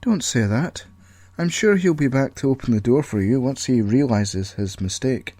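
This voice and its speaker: A man voicing a gentle, naive lab computer in an audio story, apologetic and reassuring. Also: medium pitch, expressive, normal speed, no room sound, close to an everyday voice. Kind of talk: audio story character acting.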